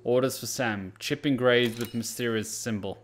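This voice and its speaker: A man's voice: medium pitch, fairly even intonation, normal speed, noisy background. A man giving casual gameplay commentary, talking to himself and swearing freely as he goes. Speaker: gaming streamer.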